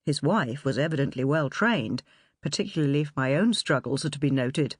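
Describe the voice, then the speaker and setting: Expressive woman narrating, very lively pitch. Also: breathy edge, no room sound, studio quality. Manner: measured, articulate reading. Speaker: audiobook narrator. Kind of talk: scripted audiobook narration of nonfiction.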